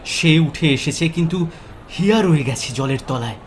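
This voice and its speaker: A man's voice: on the high side, animated, moderate pace. A man narrating a scripted horror story with intense, suspenseful, dramatic delivery. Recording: loud ambient noise behind the voice.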